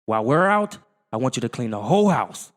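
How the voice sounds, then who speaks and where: A man voicing a stern, bossy, exasperated father who scolds loudly in a storybook. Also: medium pitch, big pitch swings, a little fast, voice pushed up, lightly acted. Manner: loud, scolding, theatrical. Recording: long hall-like reverb.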